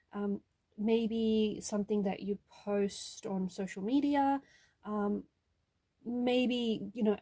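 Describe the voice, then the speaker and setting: A woman's voice: fairly high pitch, warm tone. A woman giving an intuitive tarot reading straight to the viewer, restating each point in several ways for emphasis. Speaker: tarot reader.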